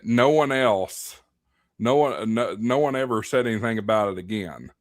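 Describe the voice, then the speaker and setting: A man's voice: on the low side, lively intonation, normal speed, no echo, clean studio sound. A man from Tennessee chatting casually, with folksy, joking banter.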